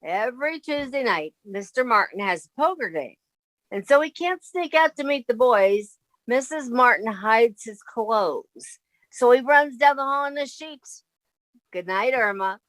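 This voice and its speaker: A woman's voice: a little high, expressive, unhurried, fairly bright tone, no echo, low-quality recording. A woman playing a practical, sceptical young roommate in a radio comedy. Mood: amused. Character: sensible young woman, exasperated roommate Jane. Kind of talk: 1950s radio comedy acting.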